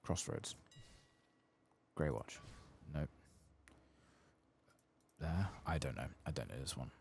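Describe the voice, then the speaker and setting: A soft-spoken man giving low-key ASMR commentary as he plays a game, muttering to himself. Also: expressive, takes it slowly, creaky, a little hiss or hum. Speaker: gaming video host.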